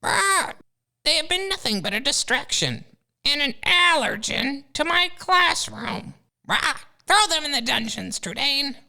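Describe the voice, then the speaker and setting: A woman's voice: high-pitched, expressive, measured pace, gravelly, pushed and bright, full character voice. A woman voicing a scheming, pompous male eagle professor who crows at his class. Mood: smug. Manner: pompous, crowing.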